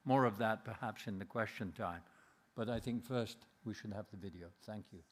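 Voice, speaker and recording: A man's voice: on the low side, normal speed. A man with a Yorkshire accent giving a speech as a jury member presenting an award at a ceremony. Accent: Yorkshire English. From Yorkshire, England.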